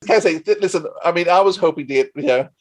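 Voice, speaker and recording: A man's voice: fairly high pitch, rapid-fire. A man with an Australian accent hosting a casual interview, speaking in an effusive, appreciative way. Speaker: podcast host.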